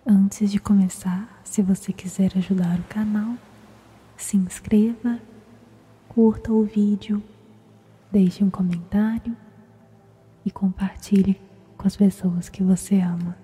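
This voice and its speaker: A woman with a Brazilian accent softly whispers a guided sleep meditation in a slow, soothing, gentle manner. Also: medium pitch, steady tone, rough recording. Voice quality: breathy, whispery. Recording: music behind the voice. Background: meditation music.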